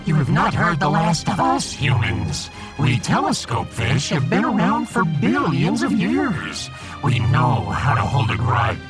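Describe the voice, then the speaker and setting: A man voicing a vengeful alien fish in a sci-fi audio drama, pompous and full of drawn-out threats. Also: fairly high pitch, huge pitch swings, music in the background, pitched above the performer's own voice, full character voice. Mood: grudging, defiant.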